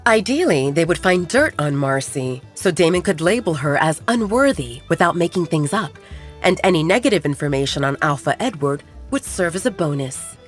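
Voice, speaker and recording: A woman's voice: low-pitched, expressive, moderate pace. A woman narrating a scripted romance audio drama as an audiobook storyteller, with music behind her. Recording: music bed under the voice. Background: background music.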